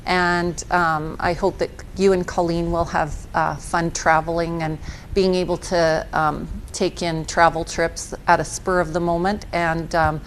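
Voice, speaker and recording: Low-voiced woman, casual. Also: little pitch movement, a little slow, rough recording. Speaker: teacher giving a colleague a tribute. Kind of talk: casual well-wishing. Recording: faint background rumble.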